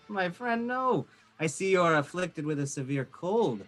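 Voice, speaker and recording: A man's voice: high voice, animated, measured pace, a bit bright. A man playing a scheming nobleman who feigns concern for his friend, theatrical and coaxing, in a period stage drama. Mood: feigned concern. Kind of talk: theatrical period drama acting over video call. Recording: home video call recording with music.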